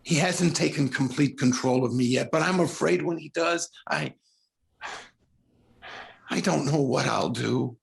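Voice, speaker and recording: A male actor playing a tormented, unraveling concert pianist in a 1940s-style radio drama, stammering and halting in an overwrought way. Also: fairly high pitch, gravelly. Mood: haunted, confessional.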